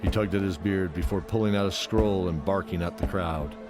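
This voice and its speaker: A man's voice: low-pitched, moderate pace. A man narrating a scripted fantasy audio drama. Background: loud music.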